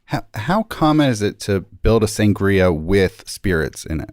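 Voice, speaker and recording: A man's voice: on the low side, sing-song, measured pace, darkish tone, dry recording. A man taking part in a casual panel conversation. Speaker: participant in a panel discussion.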